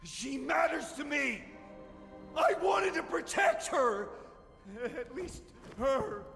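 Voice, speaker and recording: A frantic, conspiracy-minded man in a horror game, pleading and desperate. The voice sits very high and grinds with gravel, and his words come slowly. Loud music plays behind him in a roomy, echoing space. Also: big pitch swings, heavily acted. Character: paranoid frantic man sheltering a woman. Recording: echoey room with loud music.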